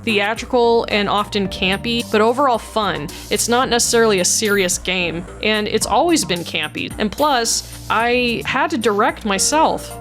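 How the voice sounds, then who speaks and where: A woman's voice: mid-range voice, moderate pace, few pauses, fairly bright tone. A woman chatting casually and self-deprecatingly as she explains her own amateur voice acting. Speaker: video creator and amateur voice actor. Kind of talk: casual behind-the-scenes chat with vocal demonstrations. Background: background music.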